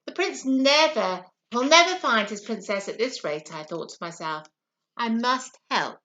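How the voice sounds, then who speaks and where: An eager little pea from a children's storybook , played by a woman reader, confiding and earnest. The voice is bright and fairly high, with animated swoops through each phrase. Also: measured pace, lo-fi sound. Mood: determined. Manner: expressive, confiding storybook narration. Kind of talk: first-person children's story reading.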